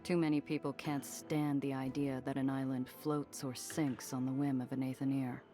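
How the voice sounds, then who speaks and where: A woman's voice: a bass voice, level tone, moderate pace, mellow, faint music behind. An actress playing a tough, world-weary smuggler woman in a 1930s noir game, wry and clipped. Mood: stern, wry.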